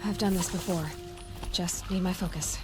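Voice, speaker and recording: A woman's voice: on the low side, fairly even intonation, moderate pace, music in the background, close to an everyday voice. Searching young woman adventurer, musing aloud actress. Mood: focused. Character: curious, determined young woman hunter exploring ancient ruins. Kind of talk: video game voice acting.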